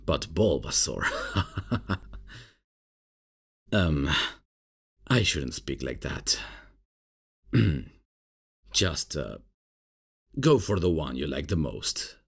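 A man playing a laid-back, bumbling substitute professor in a comedic ASMR roleplay, casual and hesitant, with a deep, gravelly, husky voice. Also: big pitch swings, slow, long pauses, exaggerated voice. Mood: sheepish, amused. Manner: casual, hesitant, chuckling, unprofessional. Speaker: roleplay audio performer.

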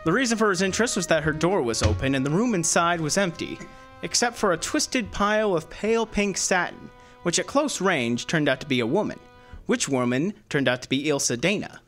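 Wisecracking streetwise sidekick acted by a man, slangy radio-noir delivery, slightly high pitch, very animated. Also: fairly quick, soft background music. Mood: wry narrating. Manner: flippant, slangy. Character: wisecracking streetwise male detective's assistant, narrator. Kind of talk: hardboiled radio drama narration and dialogue.

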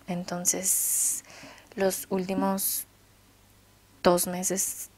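A woman in her twenties with a Mexican accent, chatting casually and explaining things as a vlogger. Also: lowish voice. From Guadalajara, Mexico. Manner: chatty, explanatory, keeping it down at night. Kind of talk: casual storytime chat to camera. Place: small bedroom, indoors. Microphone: desktop microphone close in front.

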